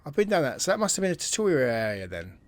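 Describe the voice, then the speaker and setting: A man's voice: a little high, big pitch swings, fast. A man giving casual let's-play commentary, musing and thinking aloud, sometimes dropping to a near-whisper. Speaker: gameplay commentator.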